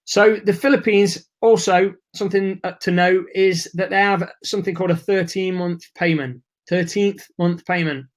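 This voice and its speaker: A man's voice: high-pitched, fairly even intonation, normal speed, hardly any pauses. A man hosting a live webinar, chatty and informal, losing his voice. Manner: chatty, informal, reads and answers chat messages. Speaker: webinar host.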